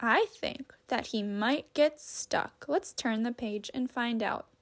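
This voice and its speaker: A woman with a high voice reads a children's story aloud like a teacher. Her delivery is slow and deliberate, with an instructive manner that makes room for questions.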